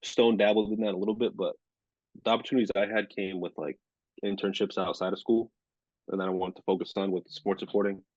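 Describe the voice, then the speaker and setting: Casual guest, twenties, flat monotone, deep-ish voice, dry close mic. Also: male voice, a little fast, no room sound, muffled recording. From Rhode Island. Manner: monotone delivery, filler-heavy. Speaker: sports reporter and anchor, podcast guest. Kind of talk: casual interview chat.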